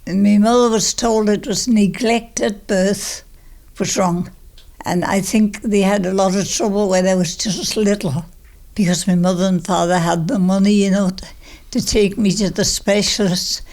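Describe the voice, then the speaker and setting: A woman's voice: mid-range voice, fairly even intonation, normal speed, a little mellow. A woman in her nineties with a Manx accent, casually reminiscing in a plain, emphatic way and repeating herself. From Isle of Man. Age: 90s. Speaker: interviewee recalling childhood.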